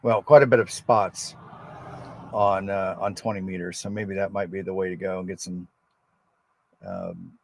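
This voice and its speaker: A man with a low American voice and a creaky, gravelly edge. He talks casually and rambles a little, like a radio hobbyist hosting his own relaxed livestream. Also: moderate pace, pauses often, a little hiss or hum. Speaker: amateur radio operator and livestream host. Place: outdoors at a state forest park.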